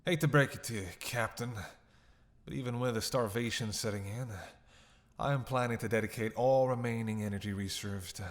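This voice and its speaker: A man's voice: mid-range voice, a little fast, lightly acted. A man playing a snarky, defiant captive vampire in a roleplay audio drama, sarcastic, teasing and theatrical, with a drawl. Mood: sardonic, playful.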